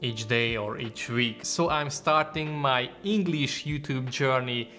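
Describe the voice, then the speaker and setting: A man's voice: medium pitch, takes it slowly, sharp tone, faint music behind. A young man from Hungary with a Hungarian accent, speaking English as a second language in an earnest, informal vlog about his photography channel. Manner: earnest, informal, non-native phrasing. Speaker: photography YouTuber. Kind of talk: casual talking-to-camera vlog.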